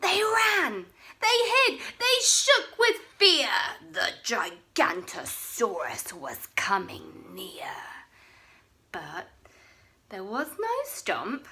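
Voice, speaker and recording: A young woman reading a bedtime story aloud, expressive and often dropping to a hushed whisper. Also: high voice.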